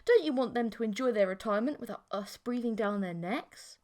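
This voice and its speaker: Audiobook role: female reader as awkward, submissive young man, lighter high voice, stammering and very expressive. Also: fairly quick, lightly acted. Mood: reluctant, firm. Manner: hesitant, stammering. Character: awkward, easily flustered geeky young man, submissive boyfriend.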